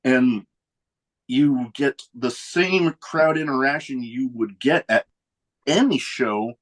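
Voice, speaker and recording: Relaxed rambling podcast host, a man. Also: mid-range voice, takes it slowly. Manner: relaxed, rambling, chuckles between thoughts. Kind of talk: casual chat.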